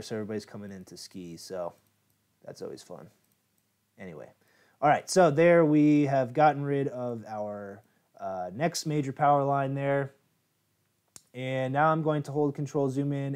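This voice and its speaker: A man's voice: medium pitch, a little mellow. Casual American man hosting a livestream. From United States. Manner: casual, chatty, many fillers. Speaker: software tutorial host. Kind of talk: live-streamed photo editing tutorial.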